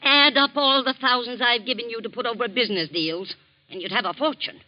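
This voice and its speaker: An actress playing a bossy, domineering wealthy wife in 1940s radio acting, sharp and contemptuous. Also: female voice, fairly high pitch, a little fast, lightly acted. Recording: dull old radio broadcast recording.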